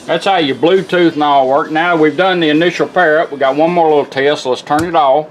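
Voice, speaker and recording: Higher-voiced man giving a folksy demo. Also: fairly even intonation, fairly quick, music in the background, low-quality recording. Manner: folksy, informal step-by-step explaining. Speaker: product demonstrator. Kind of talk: casual hands-on product demonstration. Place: indoors at a workbench.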